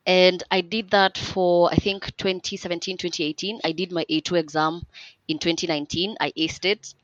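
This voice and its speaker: A woman's voice: fairly low pitch, steady tone, moderate pace, dry recording. Chatty young woman, lo-fi. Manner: chatty, rambling, with frequent fillers and restarts. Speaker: guest. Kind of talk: casual podcast interview. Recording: dull lo-fi dry recording.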